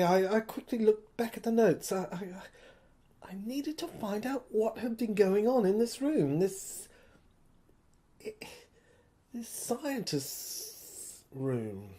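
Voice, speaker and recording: A woman giving dramatic first-person narration for an audio play, halting and repeating phrases as if thinking aloud. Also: mid-range voice, big pitch swings, dark tone, husky.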